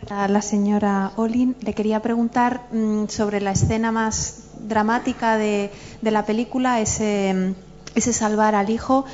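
A woman reporter asking a question at a press conference, pausing often as she searches for words. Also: medium pitch, little pitch movement, a little mellow. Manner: hesitant, with pauses to think. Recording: dull lo-fi sound.

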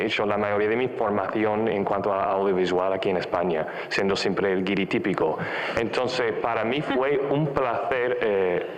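Male actor in an echoey room. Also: lowish voice. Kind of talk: press conference answer.

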